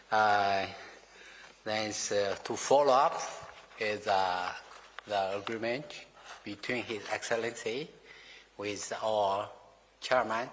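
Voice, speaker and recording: A man giving formal remarks as a company executive in halting English, full of fillers and grammar slips. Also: lowish voice, a bit bright. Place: formal meeting room. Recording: reverberant meeting hall.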